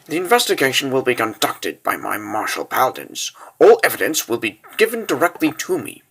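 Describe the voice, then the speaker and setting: A man's voice: mid-range voice, sing-song, a little fast, a bit bright, slight background noise. A man playing an imperious, suspicious king in a fantasy comic dub, formal and commanding in his orders. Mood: cold, commanding. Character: imperious, suspicious male king ruling a war-torn realm.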